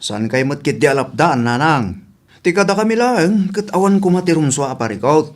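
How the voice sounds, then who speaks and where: Concerned young adult son, highish voice male actor. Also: expressive, moderate pace, lightly acted. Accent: Ilocano. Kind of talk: Ilocano radio drama acting.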